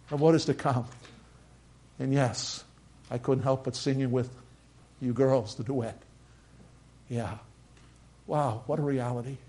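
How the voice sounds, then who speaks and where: An older man with a slight Norwegian accent, preaching a sermon. He builds to loud, emphatic peaks and then drops to quiet, measured lines. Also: fairly high pitch. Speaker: pastor.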